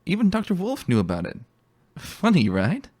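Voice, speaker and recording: A man puts on a teasing young mare scout for a storybook reading. She sounds breezy, fond and playfully chiding, pitched up and lively, over music. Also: normal speed, breathy edge, exaggerated voice.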